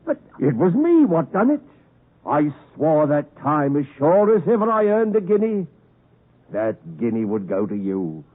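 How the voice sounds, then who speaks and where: An actor playing a rough old escaped convict in a 1950s radio drama, with rustic diction and halting, emphatic phrasing, by turns menacing and doting. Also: male voice, on the high side, wildly swooping pitch, full character voice. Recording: muffled narrowband 1950s radio broadcast recording.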